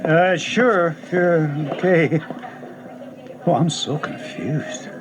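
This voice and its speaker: Puzzled, low-voiced woman. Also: very slow. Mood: bewildered. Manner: hesitant, questioning. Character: puzzled adult friend, patient and questioning. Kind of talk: audio drama acting. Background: music.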